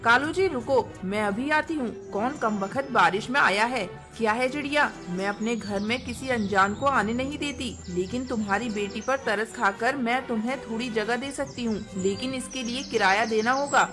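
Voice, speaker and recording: A haughty, greedy bird wife voiced by a woman from an animated fable. Her voice is high, her manner curt and scolding, and music is clearly heard behind her. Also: moderate pace, few pauses, natural voice. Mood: grudging, irritated.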